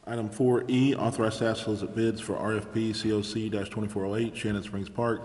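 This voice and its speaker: Meeting chair guy, lowish voice, formal short calls, very echoey room. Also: flat delivery, quick pace, darkish tone. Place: large indoor council chamber. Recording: echoey hall, faint background noise.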